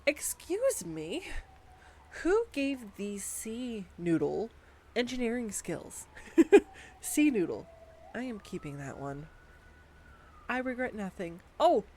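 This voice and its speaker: A voice actress performing a scripted girlfriend roleplay, bubbly and playful as she gushes excitedly over facts at a home mic. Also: mid-range voice, slow pace. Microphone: studio condenser mic.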